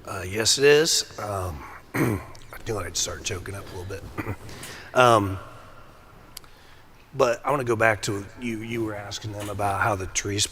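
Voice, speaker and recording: Raspy-voiced male police sergeant, bright edgy tone, halting delivery, faint noise behind. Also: lowish voice. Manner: halting, many ums and restarts. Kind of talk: emotional interview answers.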